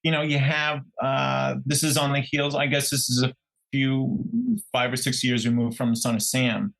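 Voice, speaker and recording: A man's voice: mid-range voice, moderate pace, creaky, fairly bright tone, low-quality recording. Male podcast co-host, mid-range voice, conversational and reflective, feeling his way through each point. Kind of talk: casual true crime discussion.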